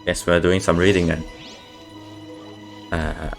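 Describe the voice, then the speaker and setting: A man streaming a game, laid-back and reading the text aloud haltingly. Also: low voice, level tone, creaky. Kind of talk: casual gameplay commentary. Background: game music.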